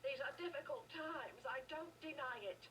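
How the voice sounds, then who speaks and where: A woman's voice: high-pitched, moderate pace, sharp tone. An actress playing an anxious, pleading mother and protective wife in a video game. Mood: worried, pleading. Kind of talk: video game dialogue acting. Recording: distant, noisy game capture with ambience.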